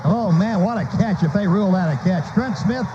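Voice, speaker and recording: High-pitched American football radio announcer, man. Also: a little fast. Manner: clipped, urgent staccato phrasing. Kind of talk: live American football commentary. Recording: noisy, dull lo-fi radio broadcast.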